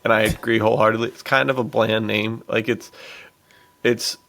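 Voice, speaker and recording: A man's voice: fairly low pitch, flat delivery, normal speed, gravelly, sharp tone. A man co-hosting a podcast, talking casually about films in loose, slangy language. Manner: informal slang, dude-heavy. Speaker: podcast co-host.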